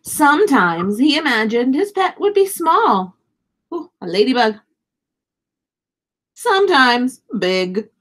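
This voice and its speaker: A woman's voice: high-pitched, big pitch swings, slow, long pauses, a bit bright. A woman in her thirties reads a children's storybook aloud, expressively and with dramatic emphasis for young listeners. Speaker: teacher reading a picture book aloud. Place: small indoor room, seated.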